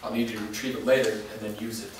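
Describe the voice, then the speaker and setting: Low, fast-talking, commanding immortal man, acted. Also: flat delivery, lightly acted. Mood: grave, earnest. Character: grave, commanding undying man, controlled and menacing. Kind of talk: staged reading of a drama script. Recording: distant, very echoey, noisy room recording.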